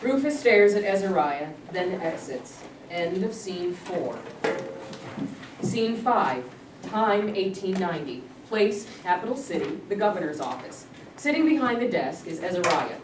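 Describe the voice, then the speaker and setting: A woman's voice: lowish voice, big pitch swings, measured pace. A woman reading out stage directions as scripted narration at a staged play reading. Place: live staged reading in a room. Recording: echoey distant mic, clear noise.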